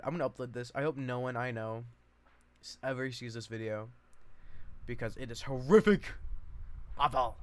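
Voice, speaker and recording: A man's voice: mid-range voice, fairly bright tone. A man rambling casually to viewers as an amateur online reader, self-deprecating and given to swearing.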